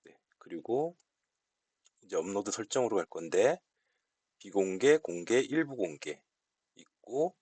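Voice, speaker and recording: A man giving a casual, unscripted software walkthrough, explaining politely step by step. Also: low-pitched, husky, no echo. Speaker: software tutorial presenter.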